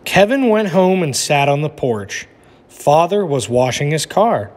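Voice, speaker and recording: Animated male teacher in an echoey room. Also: a little high, unhurried. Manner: instructive, checks in with listeners. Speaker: teacher reading aloud. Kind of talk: lesson and storybook narration. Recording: echoey room with long reverb.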